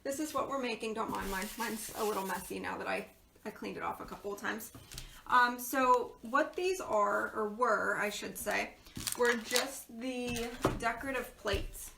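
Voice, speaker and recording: A woman's voice: a little high, normal speed, gravelly. A woman from Pennsylvania hosting a casual live craft tutorial, chatty and rambling, losing her voice. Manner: chatty, rambling with fillers and asides. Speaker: craft video host. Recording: echoey room with background noise.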